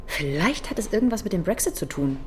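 A persistent female detective, played by an actress in a radio crime play. She is polite but probing, with very animated intonation and a medium pitch, over clear rumbling noise. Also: fast talker, lightly acted. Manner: probing, questioning. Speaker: police detective. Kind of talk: German radio crime drama acting.

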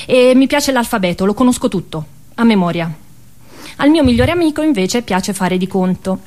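A woman's voice: medium pitch, darkish tone. A woman with a Tuscan accent tells a first-person story as an actress in a stage monologue.

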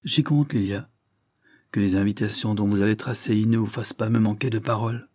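A man voicing a careless, lovestruck young lord who speaks in a loud, flippant way. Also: fairly low pitch, steady tone, fairly quick, no room sound, clean studio sound, lightly acted. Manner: boisterous, flippant.

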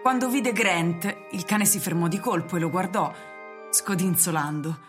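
A woman's voice: lowish voice, a little slow. A woman narrator reading literary prose for a radio drama. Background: prominent music.

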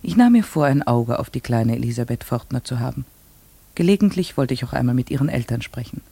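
An actress playing an earnest, strict village schoolteacher in a radio play, speaking in a measured, precise way. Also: female voice, rumbling low, expressive, gravelly, warm tone, husky, dry recording, exaggerated voice. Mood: reflective, measured. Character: earnest, strict village schoolteacher, a woman narrating her memories. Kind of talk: classic German radio play acting, literary narration.

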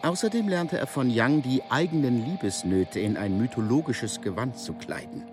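An actor playing a matter-of-fact explainer in a literary radio play. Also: male voice, mid-range voice, expressive, normal speed, music in the background, lightly acted.

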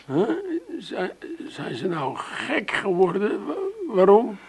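A man playing an excitable, stubborn, put-upon brother in a comic radio drama, stammering and blustering. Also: high-pitched, slow pace, gravelly, slightly rough, exaggerated voice. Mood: dazed, bewildered.

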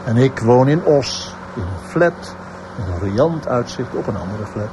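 Casting: a brusque senior detective, mid-pitched, ironic, radio play with plenty of background noise. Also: male voice, sing-song, a little slow. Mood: wistful, wry. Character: male senior police detective, dry, brusque, wistful. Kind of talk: radio drama acting.

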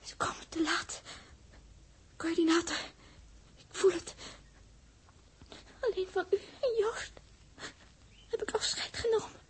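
An actress playing a gentle, dying young woman in a 1960s Dutch radio play, faint and halting. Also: very high voice, slight background noise. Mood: tearful, despairing. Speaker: radio drama actor. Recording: 1960s radio drama recording.

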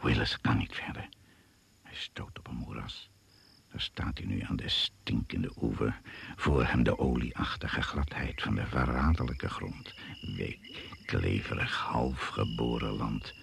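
An actor playing a brooding narrator of a radio jungle thriller, speaking in deliberate, suspenseful phrases. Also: male voice, a bass voice, creaky, fairly bright tone, breathy edge, exaggerated voice. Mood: ominous. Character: brooding, ominous male storyteller of a jungle thriller. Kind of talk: Dutch radio play narration. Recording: noisy old radio play recording.

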